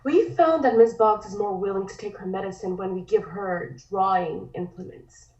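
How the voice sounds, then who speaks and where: A woman's voice: medium pitch, moderate pace, darkish tone, natural voice. A woman plays a discreet sanitarium orderly in a drama read. She speaks politely and a little uneasily, in a middle register. The recording is roomy and slightly muffled. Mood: discreet, guarded. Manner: polite, hesitant, euphemistic. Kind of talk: comic script table read.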